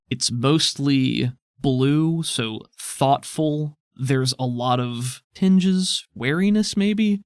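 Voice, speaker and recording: A man running a tabletop game as game master, narrating in a relaxed, descriptive way. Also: medium pitch, no room sound, studio quality. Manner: relaxed, descriptive, often slows down for imagery.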